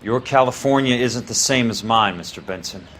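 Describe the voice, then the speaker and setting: A man's voice: medium pitch, normal speed, a bit bright, a little hiss or hum, lightly acted. A man playing a tough, world-weary insurance investigator and ex-Marine in a 1940s detective game, talking bluntly and sardonically. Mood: dry, probing. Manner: blunt, sardonic, hard-boiled. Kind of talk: 1940s detective game dialogue, naturalistic acting.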